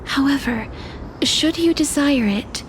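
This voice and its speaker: A woman playing a gentle, solemn young holy maiden of death in a video game, speaking softly and formally with a breathy tone. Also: high-pitched, takes it slowly, slight background noise, low-quality recording. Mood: solemn regret. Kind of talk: dramatic video game voice acting. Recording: faint music under voice. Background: music.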